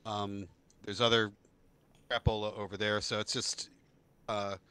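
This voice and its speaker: Bright-voiced, self-deprecating man. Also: lowish voice, level tone, a little slow, clean tone. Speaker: guest musician. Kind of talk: casual livestream chat. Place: small home studio in an outbuilding. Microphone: video call.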